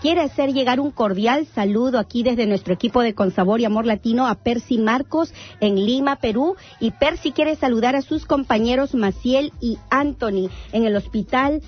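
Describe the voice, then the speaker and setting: A woman's voice: fairly high pitch, normal speed, hardly any pauses, breathy edge. A woman hosting a Spanish-language community radio show, greeting guests and reading announcements in an upbeat way. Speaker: radio host. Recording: dull lo-fi radio sound with music behind.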